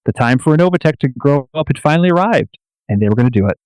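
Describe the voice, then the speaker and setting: A man narrating a scripted radio play in a measured, portentous storytelling manner. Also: medium pitch, expressive, darkish tone, clean tone, no echo, rough recording.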